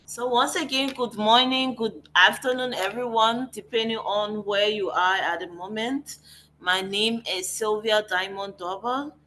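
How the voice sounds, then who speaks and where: A woman's voice: mid-range voice, a little slow, bright, forceful tone, a little hiss or hum. A woman with a Liberian accent, speaking as a facilitator on a video call. Her manner is friendly and upbeat. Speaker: facilitator and climate negotiator. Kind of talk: online presentation. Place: noisy spot on a call.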